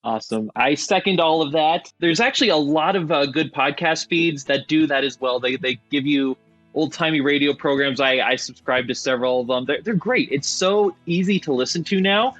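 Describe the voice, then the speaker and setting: A dry, slightly dull recording of a male podcast host with music behind him. He speaks casually and enthusiastically in a somewhat higher-pitched voice. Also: normal speed, fairly bright tone, no room sound. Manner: enthusiastic, informal. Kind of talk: casual conversational hosting.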